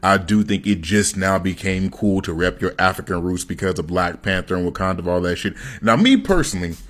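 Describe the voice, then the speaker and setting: A man's voice: deep voice, expressive, a little fast, fairly bright tone. A man in a reaction video, talking bluntly with crude language. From United States. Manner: blunt, profane, conversational. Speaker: commentator. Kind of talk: direct-to-camera reaction video.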